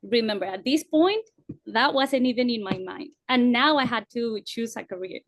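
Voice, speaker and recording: A woman's voice: fairly high pitch, moderate pace, no echo, clean studio sound. A woman telling her own study story in an earnest, reflective way. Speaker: former student guest. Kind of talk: personal story on a video call.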